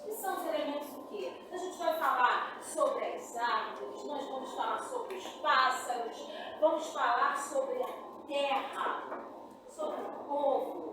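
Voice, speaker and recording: A Brazilian woman with a high voice gives an informal, emphatic classroom lecture. She sounds distant from the microphone in a strongly echoing room, with noticeable noise. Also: measured pace. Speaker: literature and writing teacher. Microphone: distant from speaker. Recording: echoey room, distant mic, noisy.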